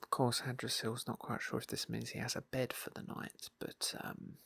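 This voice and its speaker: A man speaking in a soft, breathy hush, often near a whisper, narrating an ASMR roleplay game playthrough. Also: medium pitch, flat delivery, normal speed, no echo. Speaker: ASMR gaming video host and roleplayer. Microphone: close microphone, dry.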